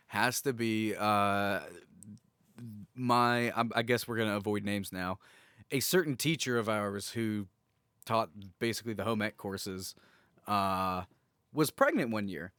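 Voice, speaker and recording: Low-pitched man, casual storyteller. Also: measured pace, pushed and bright, smooth, dry recording, studio quality. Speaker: podcast co-host. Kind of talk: casual chat, telling anecdotes.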